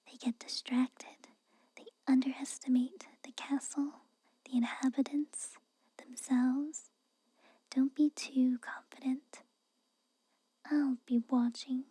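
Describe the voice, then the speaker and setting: A woman playing a haughty, guarded but secretly caring young castle maid in a soft, breathy, whispered ASMR roleplay, hesitant and chiding. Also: high-pitched, flat delivery, takes it slowly, pauses often, no room sound, heavily acted. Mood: defensive, cautioning. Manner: hesitant, reluctant, aloof and chiding.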